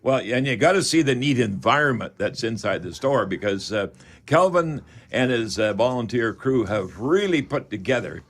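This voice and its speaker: A man's voice: medium pitch, animated, normal speed. Older Canadian man, folksy radio host. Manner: folksy, rambling. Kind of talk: chatty radio call-in hosting.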